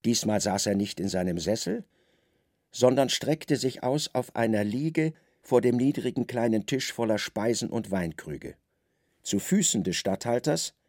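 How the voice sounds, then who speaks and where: A man tells a literary story as a radio drama narrator. His delivery is theatrical and lively, with wide swings in pitch. The voice has a creaky edge and sits in a very dry recording. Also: dry recording.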